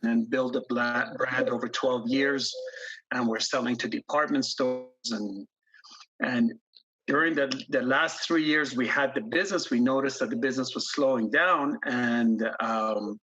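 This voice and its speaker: Husky-voiced man in his fifties. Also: mid-range voice, steady tone, fairly bright tone, dull audio. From grew up in Iran, born in the US. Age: 50s. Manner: halting, many ums and restarts. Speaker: guest investor. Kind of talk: casual interview storytelling.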